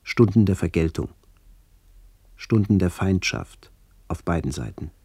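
A man with a very low, dark voice narrates a literary radio documentary. His phrasing is measured and broken into fragments, and the sound is dry and close. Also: lively intonation, husky, dry recording. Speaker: narrator recalling childhood memories.

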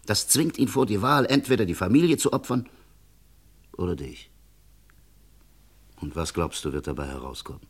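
A man's voice: low voice, animated, moderate pace, long pauses. An actor playing a level-headed, insistent male friend giving stern advice in a vintage German radio crime play, firm and reasoning. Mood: warning.

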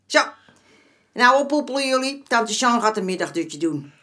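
A woman's voice: a little high, animated, moderate pace, bright, forceful tone. An actress playing a streetwise former madam in her seventies in a radio drama, folksy and dismissive in manner. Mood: scoffing. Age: 70s. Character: streetwise 74-year-old former madam, motherly but brazen.

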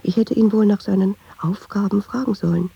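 A woman's voice: medium pitch, steady tone, a little fast, vocal fry, mellow, slightly rough, no echo, dull audio. An actress playing a watchful, nervous woman who muses hesitantly in an intimate radio drama monologue, dropping into half-whispers. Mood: musing, watchful. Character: watchful, nervous woman narrating her inner thoughts.

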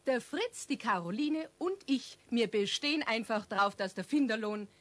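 A woman's voice: a little high, lively intonation, normal speed, close to an everyday voice. An actress playing a friendly, insistent mother with a Bavarian accent in a children's radio play.

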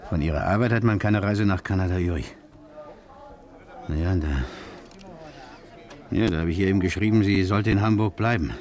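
Ein Schauspieler spielt in einem Krimihörspiel der 1950er einen misstrauischen, von Schuld geplagten Mann, der grüblerisch und stockend spricht. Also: male voice, sits low, a little fast, pauses often, darkish tone, lightly acted. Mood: guilt-ridden, despairing. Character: distraught, suspicious, guilt-ridden man searching for his fiancée. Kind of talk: 1950s German radio crime drama acting.

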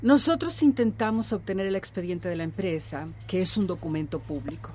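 A woman's voice: fairly low pitch, brisk, warm tone, breathy edge. A woman playing a capable, reassuring village activist and neighbour in a radio drama. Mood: earnest.

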